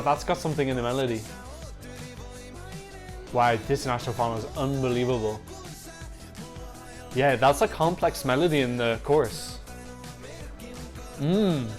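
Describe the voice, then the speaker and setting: A man giving casual, excitable reaction commentary on songs, swearing freely as he chats. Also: medium pitch. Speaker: Eurovision reaction YouTuber. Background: faint music playing.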